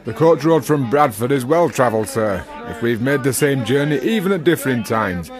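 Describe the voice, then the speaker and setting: A wry village gravedigger, acted by a man, with a mid-pitched working man's voice over clear background music. He is folksy and deferential with a teasing edge, in a period radio play. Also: animated, normal speed, close to an everyday voice. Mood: wry, respectful. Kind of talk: radio drama acting.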